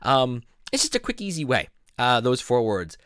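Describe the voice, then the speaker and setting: A middle-aged man from southeastern Pennsylvania giving a playful, animated casual video lesson, laughing often. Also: medium pitch, normal speed, smooth, no echo, studio quality. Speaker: YouTube language teacher. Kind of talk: casual vlog-style lesson.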